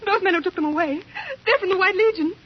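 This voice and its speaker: A woman's voice: very high voice, quick pace. A woman playing a frantic, fragile wife, crying and faint, in old radio melodrama style. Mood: frantic sobbing. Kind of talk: 1930s radio melodrama acting. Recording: muffled, noisy old radio recording.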